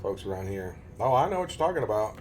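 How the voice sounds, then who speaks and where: A man's voice: on the low side, big pitch swings, quick pace, a little mellow, slight background noise. Joking Southern man chatting on stream. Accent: Southern US (Tennessee). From Tennessee, US. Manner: joking, rambling, chuckles often. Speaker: live streamer. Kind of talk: casual live-stream chat to viewers.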